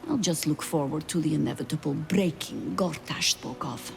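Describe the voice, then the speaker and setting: An actress playing a wary, weary veteran spy in a video game, with dry, measured, formal delivery. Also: female voice, low-pitched, expressive, creaky. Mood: wry, guarded. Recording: music behind the voice. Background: game music.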